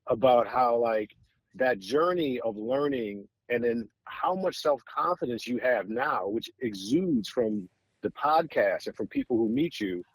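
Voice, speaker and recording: A man's voice: medium pitch, animated, measured pace, breathy edge, dry recording, rough recording. An American man hosting a podcast interview in a casual, conversational style, with meandering and admiring questions. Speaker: podcast host interviewing guests.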